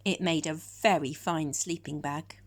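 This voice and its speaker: A woman reading a children's story in a measured, expressive storytelling manner. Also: low-pitched. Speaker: storytime reader. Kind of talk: scripted children's story narration.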